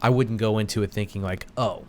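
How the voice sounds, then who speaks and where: A man's voice: on the low side, steady tone, brisk, darkish tone, slight background noise. A man co-hosting a podcast, chatting casually over a beer and rambling with restarts. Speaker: podcast co-host. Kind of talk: casual chat over beers.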